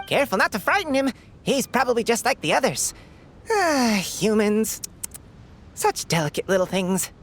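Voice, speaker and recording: Cheeky high-voiced squirrel. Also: female voice, very animated, normal speed. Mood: condescending. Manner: amused, condescending. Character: cheeky, haughty talking squirrel. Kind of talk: video game character dialogue.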